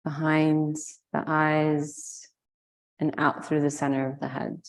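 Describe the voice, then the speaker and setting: A woman leading a guided meditation, giving slow, soothing instructions with long pauses. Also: sits low, little pitch movement. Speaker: meditation and yoga teacher.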